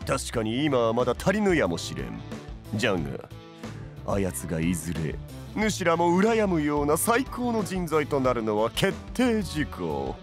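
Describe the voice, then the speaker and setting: Flamboyant, swooping, boastful lord. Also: male voice, medium pitch, wildly swooping pitch, measured pace, music in the background. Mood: defiant, proud. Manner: theatrical, archaic old-man phrasing, boastful. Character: flamboyant, proud old-fashioned tea-master lord. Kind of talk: Japanese voice drama acting.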